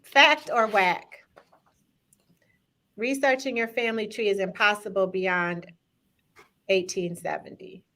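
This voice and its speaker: Bright, upbeat woman hosting a talk. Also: medium pitch, fairly even intonation, takes it slowly, pauses often. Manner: upbeat, engaging, addresses viewers directly. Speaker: host. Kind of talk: live-streamed talk show segment.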